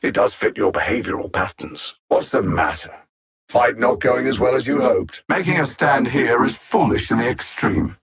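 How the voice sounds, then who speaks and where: A man playing a cold, superior alien machine conqueror in a video game, articulate and haughty, savoring his menacing taunts in a gravelly, husky voice. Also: mid-range voice, expressive, normal speed. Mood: smug menace. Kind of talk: theatrical video game villain acting.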